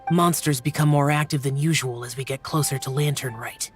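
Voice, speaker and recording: A woman's voice: a bass voice, fairly quick, soft background music. A woman voicing a reserved young male warrior guardian in a video game, terse and hesitant.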